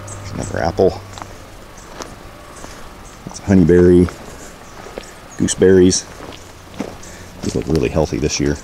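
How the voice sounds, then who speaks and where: Very deep-voiced man, noisy outdoor recording. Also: measured pace, long pauses, mellow. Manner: informal, unscripted, pointing things out. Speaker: host of a homesteading vlog. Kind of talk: casual garden walk-through commentary. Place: outdoors in a backyard garden. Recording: outdoor camera audio with clear background noise.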